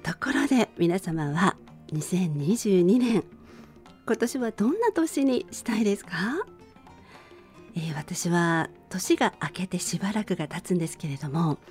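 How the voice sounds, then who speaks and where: A woman hosting a gentle bedtime radio chat, speaking politely and conversationally straight to her listeners. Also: fairly low pitch, animated, unhurried, faint music behind. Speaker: radio show host and band vocalist.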